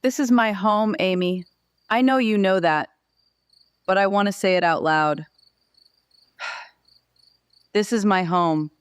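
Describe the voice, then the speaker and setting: A woman's voice: medium pitch, slow, long pauses, clean tone, dry recording, close to an everyday voice. A woman playing a feisty, busy small-town activist in an audio drama, emphatic, determined and a little theatrical.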